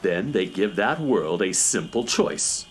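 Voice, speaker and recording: A man's voice: lowish voice, expressive, slow pace, a little hiss or hum. An actor playing a lofty, all-knowing cosmic handyman in a children's radio drama, speaking formally and pompously. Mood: explaining.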